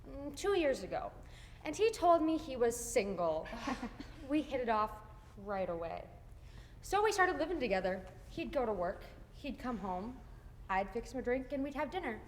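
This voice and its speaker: A woman's voice: high-pitched, pushed and bright, in a large hall, a little hiss or hum. A young woman performing a sardonic stage monologue in a school reader's theater piece, recorded from far back in a large auditorium. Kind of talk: stage monologue in a musical scene. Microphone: far room mic.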